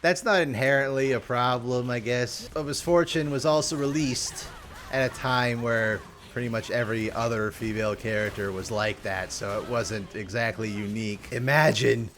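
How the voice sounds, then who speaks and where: A man hosting a casual, rambling game review with animated, exclamatory, mock-exasperated asides. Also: mid-range voice, normal speed, slight background noise. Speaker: video host reviewing game characters.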